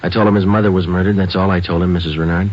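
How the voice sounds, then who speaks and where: An American actor playing a calm, relentless police detective in a 1950s-style radio crime drama, deadpan, clipped and politely firm. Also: male voice, low voice, rapid-fire. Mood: firm, unruffled. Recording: dull lo-fi old-time radio recording.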